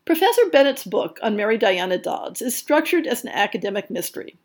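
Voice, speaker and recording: A woman presents a podcast from a prepared script. Her voice sits at a medium pitch for a woman. She reads in a measured, articulate way, with expression in the phrasing. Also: very animated. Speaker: podcast host. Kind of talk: scripted narration, reading book listings and blurbs.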